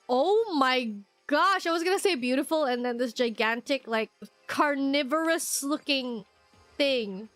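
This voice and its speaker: A congested woman streaming a video game, reacting excitably with frequent gasps and laughs. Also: sits high, slow pace, faint music behind. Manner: excitable, gasping and laughing often. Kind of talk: casual gameplay commentary.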